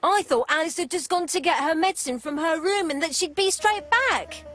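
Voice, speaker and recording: A woman's voice: very high voice, normal speed, a bit bright, soft background music. An actress playing a blunt, defensive working-class woman fruit seller in a video game. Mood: explaining. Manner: blunt, colloquial.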